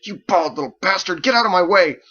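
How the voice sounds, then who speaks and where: A man voicing a big, hostile sailor with an aggressive, strained yell in a story reading. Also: high-pitched, lively intonation, a little fast, dull audio, voice pushed up, exaggerated voice. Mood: furious. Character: big angry hurt sailor, hostile bully in mask.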